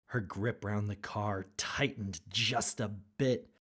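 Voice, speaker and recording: A woman's voice: rumbling low, steady tone, takes it slowly, slightly rough. A woman narrating a rhyming children's storybook as a scripted read-aloud.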